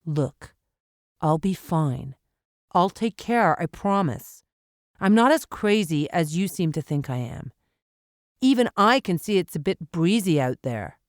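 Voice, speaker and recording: A woman narrator voicing a resolute, calm mountain man in an audiobook, steady and reassuring. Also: fairly low pitch, unhurried, no room sound, studio quality. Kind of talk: audiobook character acting.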